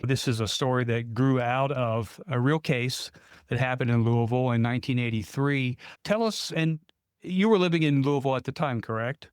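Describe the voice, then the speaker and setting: A man's voice: mid-range voice, moderate pace, clean tone, no room sound. A man hosting a podcast, delivering scripted narration and interview questions.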